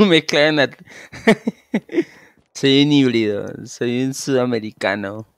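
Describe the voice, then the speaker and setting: A man's voice: mid-range voice, lively intonation, slow pace, no room sound, clean studio sound. A man in his thirties with a Venezuelan Spanish accent, chatting casually while streaming a game and rambling with easy restarts. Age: 30s. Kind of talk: casual gameplay chat. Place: small room at home. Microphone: headset.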